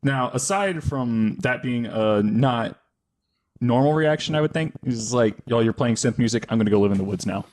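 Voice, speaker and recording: Man casually telling a dark story. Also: mid-range voice, normal speed. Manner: wry asides and self-corrections. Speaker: podcast host telling a story.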